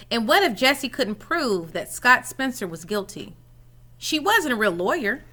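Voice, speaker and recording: A woman reading a children's story aloud in an expressive, animated way, dramatizing it as she goes. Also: high-pitched.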